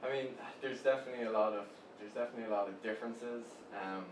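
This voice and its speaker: A man's voice: medium pitch, fast talker. Easygoing irish man, roomy and distant. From Ireland. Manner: easygoing, hesitant with many fillers and repeats. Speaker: guest, web agency co-founder. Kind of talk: casual interview talk. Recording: roomy, distant mic with background noise.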